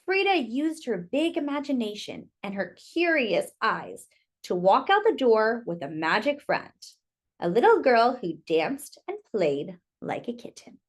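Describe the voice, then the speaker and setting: High, very lively woman reading to children. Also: unhurried. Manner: expressive, addresses young listeners as friends. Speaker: storyteller reading a picture book to children. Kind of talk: children's read-aloud with short asides.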